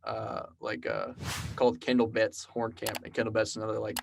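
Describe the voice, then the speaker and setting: Relaxed young Texan man, rambling. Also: fairly low pitch, a little fast, gravelly, a little hiss or hum. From South Texas. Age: 20s. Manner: relaxed, rambling storyteller with many fillers. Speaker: podcast guest, programmer and musician. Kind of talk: casual conversational interview.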